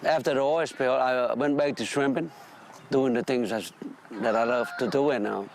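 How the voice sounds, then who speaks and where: A commercial fisherman, a man whose first language is Khmer, speaking in a halting, plainspoken way with many repeated words. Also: medium pitch, vocal fry, background noise. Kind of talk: documentary interview.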